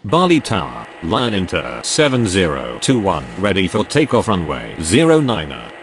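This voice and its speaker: A man's voice: low-pitched, huge pitch swings, normal speed, faint music behind, full character voice. A man voicing a formal, procedural airport radio operator in a game, using clipped aviation phrases. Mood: businesslike. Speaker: air traffic radio voice.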